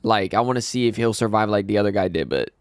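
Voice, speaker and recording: A man hosting a reaction video, talking to viewers in an excitable, slangy, casual way. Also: lowish voice, quick pace, clean tone, no room sound, studio quality. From Brazil.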